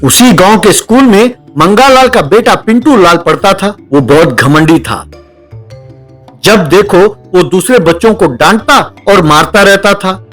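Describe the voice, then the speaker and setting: High-pitched male story narrator. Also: animated, unhurried, fairly bright tone, soft background music. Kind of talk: scripted comedy story narration.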